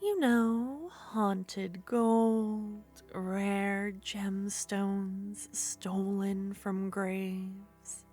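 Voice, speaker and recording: Woman voicing a haughty possessive witch, slow soft teasing, whispery, faint music behind. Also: mid-range voice, steady tone. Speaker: ASMR roleplay performer. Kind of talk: ASMR roleplay.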